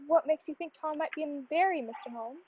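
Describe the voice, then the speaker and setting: High-voiced, forlorn young servant girl, acted by a woman. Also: brisk, narrow, tinny audio, a little hiss or hum, natural voice. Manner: plaintive, earnest. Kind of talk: radio play acting.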